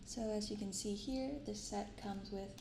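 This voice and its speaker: A soft-spoken woman hosting an unhurried ASMR-style unboxing, recorded in an untreated apartment room. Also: medium pitch, fairly even intonation. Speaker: host of a card unboxing channel. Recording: roomy untreated apartment, clear background noise.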